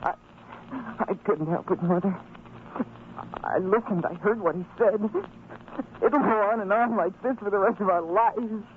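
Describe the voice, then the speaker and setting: A man's voice: sits high, unhurried, pauses often. An actor playing an earnest, dutiful and anxious young son of a fisherman in a religious radio drama. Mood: distressed. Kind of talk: old-time religious radio drama acting. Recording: tinny old radio recording, clear background noise.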